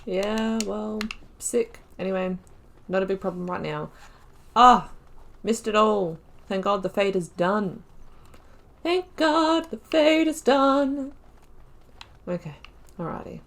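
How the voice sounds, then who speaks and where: Young woman, let's-play host, casual and excitable; mid-pitched voice. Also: slow pace, vocal fry, long pauses. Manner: chatty, excitable, talks to herself while playing. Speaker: gaming channel host.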